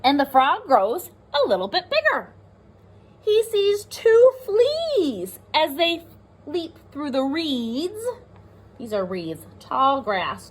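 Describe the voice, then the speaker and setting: A woman teacher reading aloud to pre-kindergarten children, expressive and sing-song, stressing rhyming words and pausing to talk to her listeners. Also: high voice, slightly rough. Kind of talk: animated children's read-aloud with asides.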